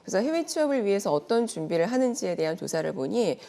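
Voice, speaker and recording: Woman, news expert guest, mid-pitched, mellow tone, animated intonation, polite, organized explanatory delivery. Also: fast talker, vocal fry. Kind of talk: prepared explanatory news segment.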